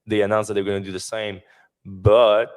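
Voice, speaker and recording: A man with a low, fairly monotone voice talks through car news on a podcast, rambling with fillers and false starts. His voice is wearing thin and hoarse. He speaks into a desk mic from a home office. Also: moderate pace. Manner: rambling, many fillers and restarts. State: losing his voice. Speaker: podcast host. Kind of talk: casual news discussion podcast.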